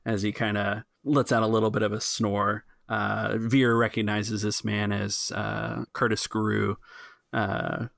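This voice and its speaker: A man's voice: lowish voice, little pitch movement, measured pace, vocal fry, fairly bright tone, no echo, clean studio sound. A man acting as game master, improvising narration and rules in a casual, conversational way. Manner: conversational, improvising, thinking aloud. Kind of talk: casual tabletop roleplay narration and rules talk.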